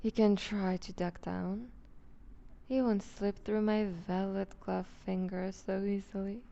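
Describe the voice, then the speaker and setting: A woman's voice: mid-range voice, level tone, slow, vocal fry, mellow, highs cut off. A woman playing a seductive, dominant vampire duchess in an intimate ASMR roleplay, teasing and condescending, often slipping toward a whisper. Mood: amused, possessive.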